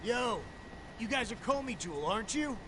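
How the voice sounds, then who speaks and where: A cocky street gang thug from a video game. His very high voice swings around animatedly as he pushes and challenges in brash slang. Ambient noise is clearly audible behind him. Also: lively intonation, normal speed. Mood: brash, challenging. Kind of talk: English game dub acting.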